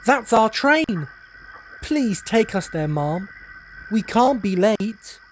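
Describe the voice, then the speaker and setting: Small lost boy, adult male performer: high-pitched, animated, pleading and childlike, storybook role, some noise behind. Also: takes it slowly, full character voice. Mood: urgent. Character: frightened, contrite little boys, pleading and eager.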